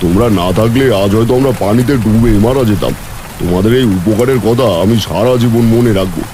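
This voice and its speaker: Brief: man plays a low-voiced, grumbling cartoon husband, heavy rain noise. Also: fast, mellow, natural voice. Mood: grateful. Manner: sardonic, grumbling. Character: stubborn, grumbling village husband.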